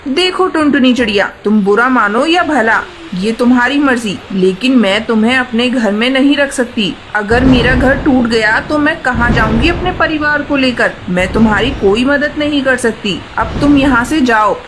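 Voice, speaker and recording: Highish voice, woman as selfish cartoon aunt bird, curt, dismissive, loud background noise. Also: moderate pace, natural voice. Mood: cold, dismissive. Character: selfish, cold aunt bird refusing help. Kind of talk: children's cartoon story voice acting.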